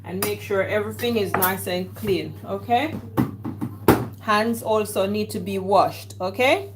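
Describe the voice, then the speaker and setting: A woman's voice: mid-range voice, measured pace. A woman with a Jamaican accent, casually talking through her cooking steps in a home kitchen. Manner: chatty, talks herself through each step. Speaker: home cook vlogger. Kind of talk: casual cooking vlog talk. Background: clatter of dishes and cutlery.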